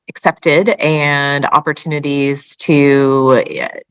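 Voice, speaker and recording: Low-voiced enthusiastic woman, dry sound. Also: a bit bright, no room sound. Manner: enthusiastic, thinking aloud with fillers. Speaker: housing staff. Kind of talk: Q&A answer.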